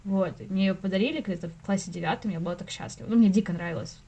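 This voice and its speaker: A young woman talks very quickly in a casual podcast chat. She tells stories in slangy, conversational speech and voices little quoted reactions as she goes. Her pitch sits in an ordinary range. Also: mellow, husky. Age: late 20s to early 30s. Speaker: podcast guest, animator.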